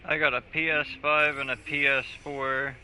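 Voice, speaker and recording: A man's voice: fairly high pitch, level tone, moderate pace, pushed and bright, slight background noise. A man streaming a video game, chatting casually with crude, offhand jokes. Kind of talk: casual gaming livestream chat. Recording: dull lo-fi mic with game music.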